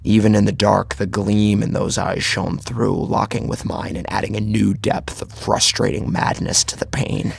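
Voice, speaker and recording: A man narrating a first-person horror story dramatically, with a grave, intense delivery and a husky, gravelly voice. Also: lowish voice, flat delivery, normal speed, hardly any pauses, dry recording.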